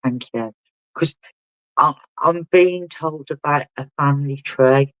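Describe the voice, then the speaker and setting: Halting man giving a medium reading. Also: on the high side, fairly bright tone, dry recording, rough recording. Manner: halting, relays messages and keeps asking the sitter to confirm. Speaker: spiritual medium.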